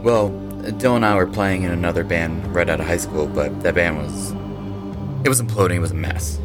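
Man playing young male band leader, low voice, intense, cocky, horror audio drama, music behind. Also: a little fast, lightly acted. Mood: reassuring. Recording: voice over prominent background music.

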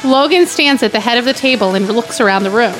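A woman's voice: on the high side, fast, music in the background, low-quality recording. A woman narrating screenplay stage directions at an informal comedy table read. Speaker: guest reader of stage directions.

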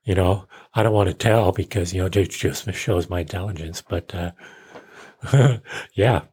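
Husky, low-voiced middle-aged man. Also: a little slow, darkish tone. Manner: self-deprecating, joking. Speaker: podcast host. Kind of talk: casual rambling solo chat.

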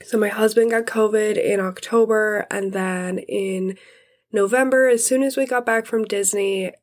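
A woman's voice: mid-range voice, steady tone, measured pace. A woman in her thirties hosting her podcast in casual, friendly chat, her voice congested from illness. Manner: friendly, chatty. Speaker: podcast host.

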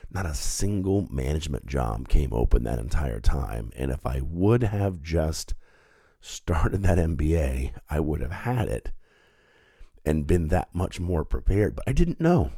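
A man's voice: very deep voice, very animated, measured pace, creaky, warm tone, breathy edge, dry recording, clean studio sound. A man hosting his own podcast, stuffed up with a head cold, talking in an earnest, reflective and conversational way. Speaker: podcast host. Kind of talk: solo podcast monologue.

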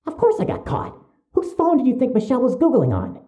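Dark-voiced, formal, suspicious young man played by an actor. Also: extremely high pitch, huge pitch swings, brisk, full character voice. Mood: wry, insistent. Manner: precise, wordy, urgent, stiffly formal. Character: brisk, suspicious, formal young male medical student patient. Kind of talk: audio drama acting.

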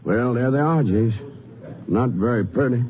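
An actor playing a sober, plain-spoken county sheriff with folksy phrasing in a 1950s radio drama. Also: male voice, mid-range voice, a little slow, close to an everyday voice. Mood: grim, helpful. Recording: dull, faintly noisy old radio recording.

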